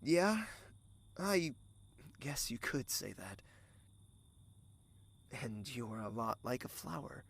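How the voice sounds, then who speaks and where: A gentle young man in an audio drama, played by a male actor. He talks slowly with a creaky edge and lively intonation. His manner is soft-spoken, wistful and fondly teasing. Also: medium pitch, full character voice.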